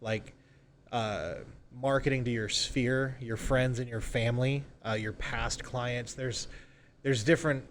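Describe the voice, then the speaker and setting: A man's voice: medium pitch, fairly even intonation, a little slow, a little hiss or hum. A man speaking as a real estate agent in a conversational podcast interview, informally explaining and acting out example sales calls. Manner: informal, role-plays example phone calls.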